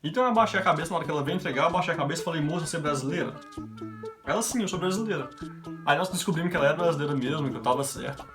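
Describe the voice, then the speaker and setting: A man's voice: on the high side, animated, rapid-fire. A young man from Brazil telling a run of everyday anecdotes to his vlog audience in a chatty, casual way. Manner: chatty anecdotal, quoting others in his own voice. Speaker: vlogger. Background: background guitar music.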